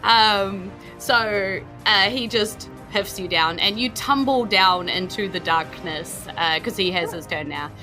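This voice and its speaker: A woman's voice: medium pitch, slow, hardly any pauses, sharp tone, soft background music, low-quality recording. A woman with a New Zealand accent casually narrating a tabletop game as game master, playful in manner.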